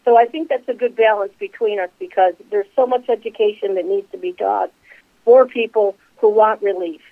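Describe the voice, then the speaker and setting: A woman's voice: medium pitch, fairly even intonation, moderate pace, no echo. A woman in her sixties speaking as a company co-founder, talking earnestly in a rambling way with many fillers. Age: 60s. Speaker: CBD company co-founder guest. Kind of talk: casual interview talk. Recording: dry tinny phone line.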